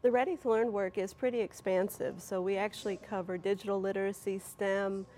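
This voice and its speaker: A woman's voice: medium pitch, steady tone, fairly quick, a little mellow. A woman giving articulate, formal explanations as an organization's representative in an interview. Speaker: nonprofit media representative, interview guest. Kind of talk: polished explanatory interview answers.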